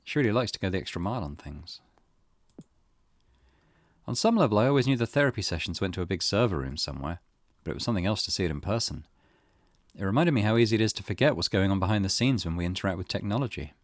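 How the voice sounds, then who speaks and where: A man's voice: on the low side, animated, fast, no room sound, clean studio sound. A man hosting a let's play, reading game text aloud and adding his own commentary.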